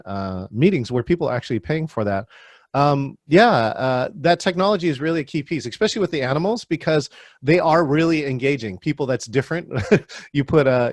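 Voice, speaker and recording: Male workshop host on a video call; friendly, mid-pitched, dry close sound. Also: dry recording. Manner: friendly, calls on attendees by name. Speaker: virtual meeting trainer. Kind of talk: hosting an online workshop.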